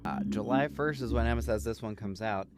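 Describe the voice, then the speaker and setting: A man's voice: on the low side, a little fast, over music. A man hosting a book show, chatting casually with guests on a live stream. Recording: some background noise.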